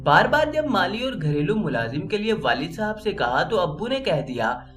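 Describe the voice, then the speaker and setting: A man narrates a scripted story in a notably high voice. His intonation is very animated, moving freely up and down as he reads. Music plays behind his narration. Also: moderate pace. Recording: music under the voice. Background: background music.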